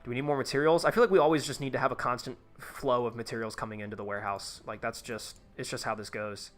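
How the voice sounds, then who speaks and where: A man's voice: mid-range voice, fairly even intonation, quick pace, faint music behind. A man live-streaming a tycoon game, chatting casually with his viewers in a rambling, profane way while he plays. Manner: rambling, profane, talks to chat while playing.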